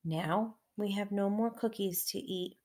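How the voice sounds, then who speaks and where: A woman's voice: mid-range voice, a little slow, darkish tone, lightly acted. A childlike male storybook toad, played by a woman reading aloud. He is a doubting friend who questions and protests in simple, plain words. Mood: glum.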